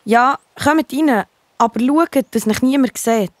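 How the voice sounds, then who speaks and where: Woman acting Rahab, brave, high-voiced Swiss German townswoman. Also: unhurried, dry recording. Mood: guarded, welcoming. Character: Rahab, brave, helpful townswoman sheltering spies. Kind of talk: children's Bible audio drama acting.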